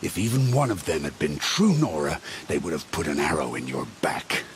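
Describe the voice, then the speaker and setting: A man's voice: extremely low pitch, sing-song, normal speed, slight background noise. A man acting a domineering middle-aged war chief in a video game, contemptuous and commanding. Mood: scornful.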